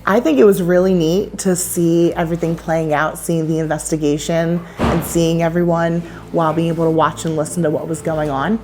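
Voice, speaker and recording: A woman reflecting in a measured way on watching an investigation unfold. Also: sits low, steady tone, over music.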